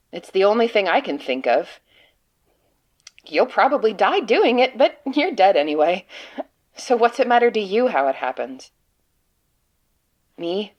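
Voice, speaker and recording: A woman playing a frightened, despairing agent trapped among monsters, delivering a halting, grimly sardonic confessional monologue in an audio drama. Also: on the low side, darkish tone. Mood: resigned, urgent. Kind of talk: dramatic monologue reading of a written report.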